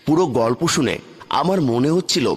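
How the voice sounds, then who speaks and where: A man's voice: mid-range voice, animated, normal speed, breathy edge. A man narrating a scripted ghost story in the first person, in a dramatic style, with reverb on the recording. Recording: long hall-like reverb.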